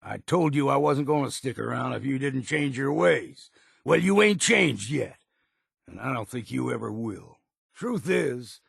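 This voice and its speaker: An actor playing a gruff, weary old hunter in a video game, blunt and proud, with a gravelly, husky voice and a folksy, rustic drawl. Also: male voice, on the high side, dry recording, studio quality, full character voice. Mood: angry, fed up. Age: older. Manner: folksy, plain-spoken drawl with rustic grammar. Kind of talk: video game character dialogue.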